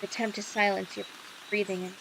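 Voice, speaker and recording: A woman's voice: mid-range voice, level tone, a little fast, gravelly, noisy background. A woman livestream host reading a visual novel aloud, with playful casual reactions. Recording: background music under the voice. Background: music.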